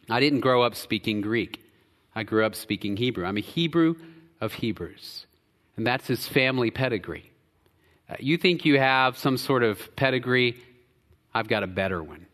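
A man from the United States preaching a sermon as pastor, swinging between loud emphatic stretches and quiet low drops. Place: church sanctuary. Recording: church hall with long reverb.

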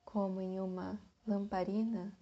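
A woman leads a guided meditation in a soft, breathy voice, speaking in short phrases with long pauses. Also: medium pitch, little pitch movement, dull audio.

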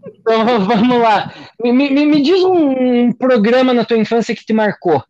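A man's voice: extremely high pitch, moderate pace, a little mellow, no room sound, muffled recording. A man hosting a podcast, asking questions in a hesitant way, repeating words and slipping in jokes. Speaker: podcast host. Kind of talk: casual interview.

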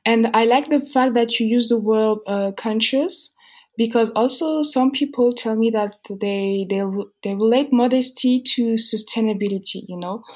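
A woman hosting a conversation, asking questions in a relaxed, conversational way. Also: on the high side, breathy edge. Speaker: podcast host. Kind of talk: interview questions.

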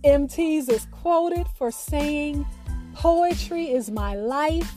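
A woman with a high, softly mellow voice presents a scripted radio introduction. She takes her time, drawing phrases out deliberately, with music faintly behind her. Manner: measured, deliberate, drawn-out delivery. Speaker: radio show host. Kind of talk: scripted introduction of a featured guest.

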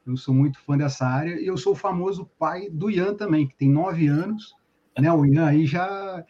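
A man's voice: fairly high pitch, normal speed, dark tone, slightly rough, muffled recording. A man in his forties with a Carioca accent, a podcast guest talking in a friendly, chatty way full of slang. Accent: Carioca Portuguese. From Rio de Janeiro state, Brazil. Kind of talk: casual podcast chat.

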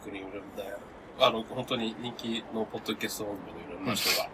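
A man's voice: fairly even intonation, racing. A man co-hosting a podcast in casual, conversational group chat. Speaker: podcast co-host. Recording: noisy recording with rumbling ambience.

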